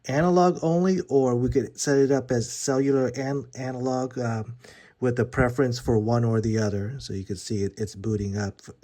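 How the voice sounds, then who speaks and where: A man's voice: mid-range voice, fairly even intonation, normal speed, mellow. A man giving a casual, hands-on gear demonstration, informal and a little hesitant as he thinks aloud. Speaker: gear reviewer. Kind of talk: casual unboxing and setup demonstration.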